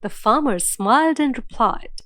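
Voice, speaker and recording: A woman narrating a scripted children's story as storyteller, phrasing it clearly and dramatically. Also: a little high, lively intonation, moderate pace, fairly bright tone, no echo, clean studio sound.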